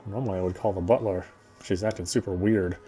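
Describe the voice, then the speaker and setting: A man reading visual novel narration aloud in a dramatic, reflective way with deliberate phrasing. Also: low-pitched, fairly even intonation, dark tone. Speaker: game streamer reading aloud.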